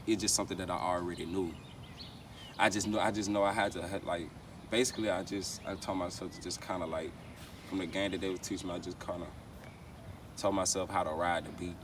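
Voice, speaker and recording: A man's voice: low voice, fairly even intonation, fairly quick. An American man, a rapper being interviewed, chatting casually with heavy slang and frequent verbal tags. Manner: slangy, repeats verbal tags like "you know what I'm saying". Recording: noisy, distant mic, outdoor street ambience.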